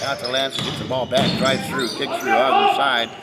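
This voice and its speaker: A man announcing basketball play by play in a brisk manner, calling the action as it happens. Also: medium pitch, little pitch movement. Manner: brisk, talks over the action. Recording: loud crowd and bouncing balls behind. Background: gym crowd and basketball bounces.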